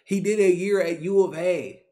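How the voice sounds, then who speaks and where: A man in his twenties, a YouTube creator, talking to camera in an animated, slangy rant, repeating himself, from a small bedroom on a webcam mic. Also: high voice, moderate pace. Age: 20s. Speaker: YouTube sports reaction creator. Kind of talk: excited casual rant to camera.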